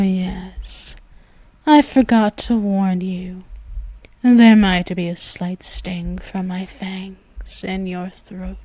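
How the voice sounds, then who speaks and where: A commanding female vampire, as a woman plays her for an ASMR roleplay, drawing out every word at a very slow, deliberate pace. Her voice stays soft and hushed, slipping into whispers. The recording is dry and intimate, right up against the microphone. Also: mid-range voice, dry recording. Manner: commanding and purring. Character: dominant predatory female vampire, commanding and possessive. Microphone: close mic, intimate.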